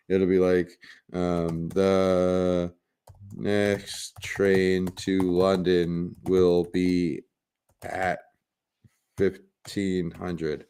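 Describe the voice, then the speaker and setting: A man with a raspy smoker's voice chats casually on a livestream, his tone low and gravelly with a creaky edge. He jokes with his viewers, stumbles over restarts and giggles between thoughts. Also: darkish tone. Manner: joking, giggly, rambling with many restarts. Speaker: livestream host.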